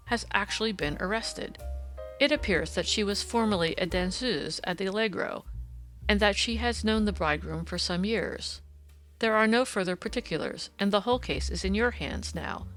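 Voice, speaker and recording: A woman voicing a suave, self-assured gentleman detective, courteous and precise, in an audiobook. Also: medium pitch, low-quality recording. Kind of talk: audiobook character dialogue.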